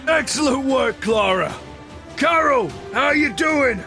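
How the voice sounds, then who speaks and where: A man's voice: very high-pitched, animated, takes it slowly, creaky, sharp tone, full character voice. A man playing a boisterous, commanding pirate captain who tells his sea tale in a dramatic storytelling style, with music behind him. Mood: shouting orders. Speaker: narrator and captain.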